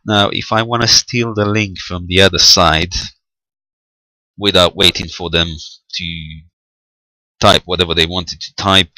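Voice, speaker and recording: A man's voice: sits low, bright, forceful tone, no room sound, poor audio. A man giving an unscripted step-by-step technical demonstration, hesitant and thinking aloud as he goes. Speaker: amateur radio tutorial presenter.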